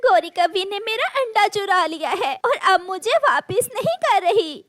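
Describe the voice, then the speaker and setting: A woman's voice: very high voice, animated, a little slow, no room sound, clean studio sound, pitched above the performer's own voice. A woman voicing an anxious, honest little mother bird in a children's cartoon, plaintive and insistent. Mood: tearful pleading. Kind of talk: children's cartoon story acting.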